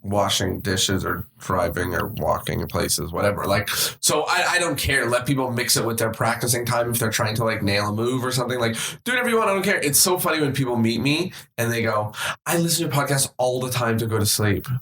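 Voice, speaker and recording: A man in his twenties co-hosts a casual podcast. He talks in an informal, rambling, self-reflective way. The sound is roomy, with clear echo around his voice. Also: mid-range voice, animated, a little fast, fairly bright tone. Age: 20s. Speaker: podcast host.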